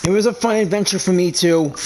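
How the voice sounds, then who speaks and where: Casual woman gamer, medium pitch. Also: moderate pace, noisy background. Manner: casual, offhand asides. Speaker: game streamer. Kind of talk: casual gameplay commentary.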